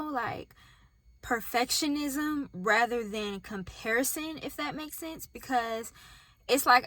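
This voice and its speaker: Bright-voiced Southern woman vlogging in car. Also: on the high side, no room sound. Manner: candid, chatty, talks to viewers directly. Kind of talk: casual talk to camera. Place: parked car. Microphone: phone on tripod.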